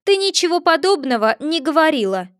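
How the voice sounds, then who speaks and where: Lady doing a snooty egg guy from a storybook, bossy and loud, kinda high. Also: sing-song, dry recording, clean studio sound. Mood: triumphant, scolding. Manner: haughty, lecturing, quick to take offense. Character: pompous touchy male egg figure.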